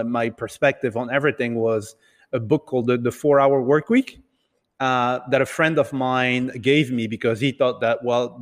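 A man who grew up in Italy and other countries, telling his story casually as an interview guest in a halting, hesitant way. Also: medium pitch. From Italy and several other countries. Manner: hesitant, halting delivery with repeated words. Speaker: podcast guest, entrepreneur. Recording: reverberant, hall-like room sound.

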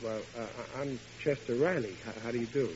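A man's voice: mid-range voice, quick pace, darkish tone, lightly acted. Man acting a flustered husband on muffled old radio. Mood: nervous, bashful. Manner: stammering, flustered, folksy. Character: bumbling, eager, henpecked husband, easily flustered. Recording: muffled, hissy vintage radio broadcast.

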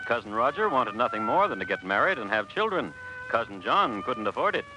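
A man hosting and narrating a scripted old radio crime story in a wry, measured storytelling manner. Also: mid-range voice, very animated, noisy background. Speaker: host narrator.

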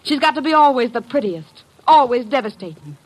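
An actress playing a catty, bitter wife, needling with sarcastic mock formality in old-time radio drama style. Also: female voice, high voice, expressive, brisk, slightly rough, poor audio.

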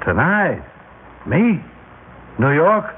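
A man's voice: fairly high pitch, very animated, extremely slow, full character voice. An actor playing a ruthless crime boss in a classic radio drama, with clipped, commanding tough-guy talk that turns nervous under pressure. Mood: anxious, urgent. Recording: tinny, noisy old radio broadcast.

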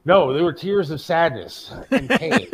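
A man's voice: on the high side, expressive, measured pace, fairly bright tone. A middle-aged man hosting a talk show, chatting and bantering informally with a salty tongue. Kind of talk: casual chat and banter.

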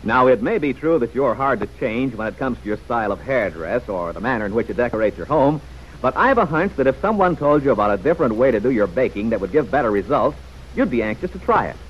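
A male announcer from 1940s radio, polished and persuasive. His medium-pitched voice rises and falls expressively as he addresses listeners in a folksy way. The recording is muffled and a little noisy. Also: animated, quick pace. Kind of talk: 1940s radio serial narration and sponsor pitch. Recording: dull, faintly noisy old radio recording.

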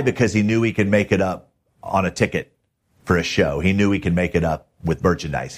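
Male podcast host, very low register, husky tone. Emphatic, plain-spoken motivational advice. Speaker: podcast host, music manager.

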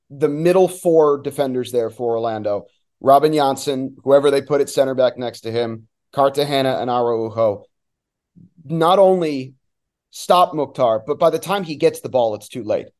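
Mellow-voiced man talking sports analysis. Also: mid-range voice, unhurried. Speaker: sports radio play-by-play announcer, guest.

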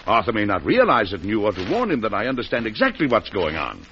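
Deep-voiced weary president, old radio. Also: male voice, huge pitch swings, fast talker, fairly bright tone, exaggerated voice. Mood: exasperated, urgent. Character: weary, suspicious American president, blunt with family. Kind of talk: old-time radio drama acting. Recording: dull, noisy old radio broadcast.

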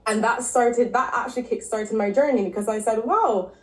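A woman from Barbados, a podcast guest and health coach, telling her story in a relaxed, casual way with frequent ums and likes. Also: fairly high pitch, moderate pace. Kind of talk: casual interview talk. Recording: echoey room.

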